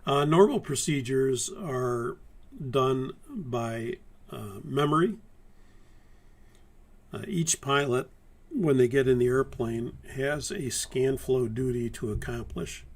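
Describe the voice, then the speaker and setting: A man teaching a live-streamed airline pilot course in a relaxed, methodical way, hoarse from a lingering virus. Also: medium pitch, slow. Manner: methodical, conversational, many fillers and restarts. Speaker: flight instructor. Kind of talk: live-streamed training talk.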